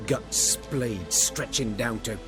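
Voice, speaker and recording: A man's voice: mid-range voice, slow pace, over music, lightly acted. A man playing an uneasy peasant villager. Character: nervous peasant villager man, plain-spoken. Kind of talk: video game cutscene acting. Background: orchestral game score.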